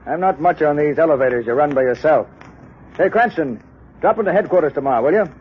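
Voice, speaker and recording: An actor playing a brisk, genial police commissioner, hearty and businesslike, in 1930s radio mystery style. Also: male voice, fairly high pitch, animated, close to an everyday voice. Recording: tinny, noisy 1930s radio recording.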